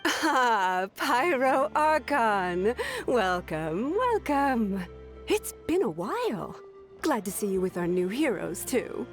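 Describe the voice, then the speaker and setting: An easygoing tavern keeper. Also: female voice, a little high, slow pace. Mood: welcoming. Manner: relaxed, chuckling hospitality. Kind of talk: game voice acting. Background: faint game music.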